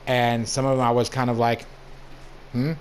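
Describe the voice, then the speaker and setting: A young man with a hoarse voice from allergies, casually talking through a game review as the channel's host. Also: mid-range voice, level tone, moderate pace, slight background noise. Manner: laid-back, plain-spoken, rambling asides. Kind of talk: casual gameplay commentary.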